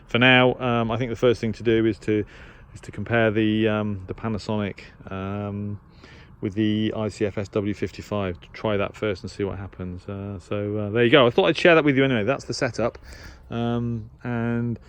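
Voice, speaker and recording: Low-pitched Englishman chatting about gear. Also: flat delivery, normal speed, clear, resonant tone. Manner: hesitant, thinking aloud. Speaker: shortwave radio hobbyist presenter. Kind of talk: casual unscripted equipment review chat.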